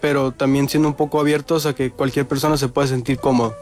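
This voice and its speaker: A man's voice: medium pitch, level tone, quick pace, a little mellow, faint music behind. Mexican man answering interview questions. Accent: Mexican Spanish. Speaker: interview guest, restaurant founder.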